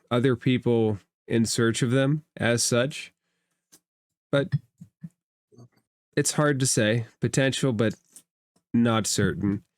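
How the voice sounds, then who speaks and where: A man narrating a tabletop role-playing game as game master, with a measured, halting delivery. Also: medium pitch, clean tone, dry recording.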